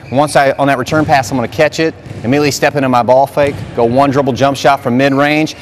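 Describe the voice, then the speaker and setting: A man's voice: medium pitch, fairly even intonation, fast, slight background noise. A man in his thirties, a plain-spoken sports trainer, giving instructions for a drill in short coaching cues. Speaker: basketball skills trainer and coach. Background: music.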